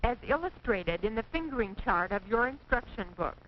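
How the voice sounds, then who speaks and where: High-voiced American woman narrating, old film soundtrack. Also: expressive. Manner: precise, measured, instructive enunciation. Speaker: training film narrator and typing instructor. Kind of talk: 1940s instructional film narration, scripted. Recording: dull, noisy 1940s film soundtrack.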